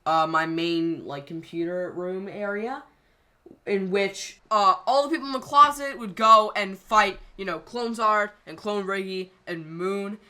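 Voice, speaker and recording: A channel creator casually explaining the plan for a scrapped series finale, rambling with frequent pauses and restarts. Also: mid-range voice, sharp tone. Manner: hesitant, frequent ums and restarts.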